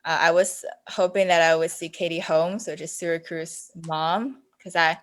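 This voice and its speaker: Low-voiced young woman chatting casually. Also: steady tone, moderate pace, a bit bright. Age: 20s. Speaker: podcast guest. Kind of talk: casual chat.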